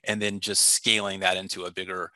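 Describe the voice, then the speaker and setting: Man in his thirties, deep bright voice, dry headset sound, hesitant with many ums. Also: level tone, a little fast, no room sound. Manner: thoughtful, many fillers and restarts. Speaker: warehouse technical product manager. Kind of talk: remote video interview answers. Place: small room indoors. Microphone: headset mic on video call.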